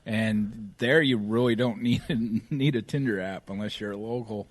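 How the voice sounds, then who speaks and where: A man's voice: on the low side, moderate pace, no echo. A man in his forties talking casually on a podcast, laid-back and often trailing off with a chuckle. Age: 40s. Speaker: podcast guest. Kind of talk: casual chat.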